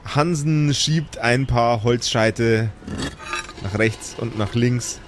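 A man's voice: medium pitch, slow pace, slight background noise. A man narrating and ruling a live improvised tabletop game as game master, in a vivid storytelling manner.

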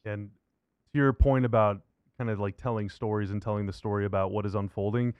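A man's voice: on the low side, fairly even intonation, brisk, smooth, no room sound, highs cut off. A man hosting a casual sports interview, chatting informally with lots of filler "like". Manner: informal, often says "like". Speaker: podcast host, interviewer.

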